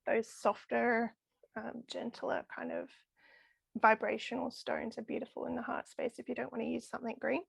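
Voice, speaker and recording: Brief: woman guest, chatty and enthusiastic, voice going hoarse, gravelly fry, dry close sound. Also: fairly high pitch, animated, moderate pace, dry recording. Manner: enthusiastic, chatty with frequent laughs. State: losing her voice. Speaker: crystal healing guest. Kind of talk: casual podcast interview.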